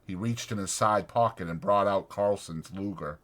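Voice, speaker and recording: A man narrating an audiobook dramatically, in a measured and deliberate way. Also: deep voice, fairly bright tone.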